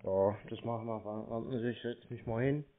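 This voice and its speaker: A man's voice: medium pitch, steady tone, moderate pace. A man on a phone call, dawdling and acting clueless as he hesitantly spells things out. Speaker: prank caller toying with phone scammers. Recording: tinny narrowband phone line.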